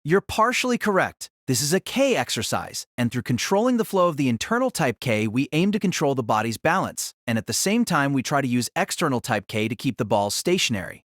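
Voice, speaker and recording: A man voicing a calm, polite young male martial artist in an audio drama. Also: medium pitch, fairly quick, few pauses, no room sound, studio quality. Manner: composed, polite. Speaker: voice actor. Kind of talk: fan audio drama acting.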